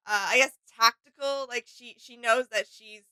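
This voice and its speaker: Woman cohost on a reaction vid, highish clear voice, kinda halting while she finds the words, dry sound. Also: sharp tone, no room sound. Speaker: reaction video co-host. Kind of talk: casual reaction chat.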